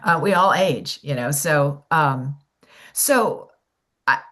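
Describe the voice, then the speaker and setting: A middle-aged woman with a notably low voice answers questions as a voiceover coach over a video call. She talks in loose, rambling sentences, restarting often and leaning on "you know". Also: lively intonation, slow pace, a bit bright. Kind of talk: casual Q&A advice on a video call.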